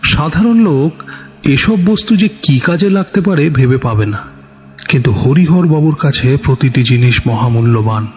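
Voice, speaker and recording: A man's voice: medium pitch, expressive, normal speed, darkish tone. A man narrating a scripted suspense story in a dramatic storytelling style. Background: background music.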